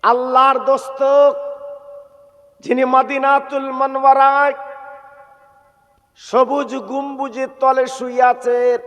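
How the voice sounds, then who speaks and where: Male Islamic preacher, very high pitch, unhurried, drawn-out emotional storytelling with chanted phrases, heavy reverb. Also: fairly bright tone. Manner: plaintive, drawn-out exhortation with repeated calls to listeners. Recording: long reverberant echo on the voice.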